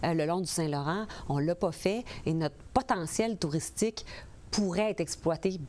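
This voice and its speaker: A woman's voice: lowish voice, normal speed, darkish tone. A woman in her forties from the Portneuf region with a Québécois accent, answering interview questions as a political candidate, earnest with frequent hesitations. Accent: Québécois French. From Portneuf region, Quebec. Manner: earnest, with many hesitations and restarts.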